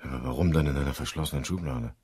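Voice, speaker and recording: A blunt male inspector in a crime radio play. His voice sits extremely deep and has a rough, husky edge. He is inquisitive and direct, speaking in clipped remarks. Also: rapid-fire, darkish tone. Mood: curious.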